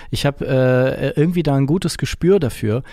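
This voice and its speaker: A man's voice: mid-range voice, moderate pace, dark tone, no room sound, studio quality. A middle-aged man talking reflectively as an interview guest, explaining his opinions and often searching for the right word. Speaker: interview guest, musician and composer.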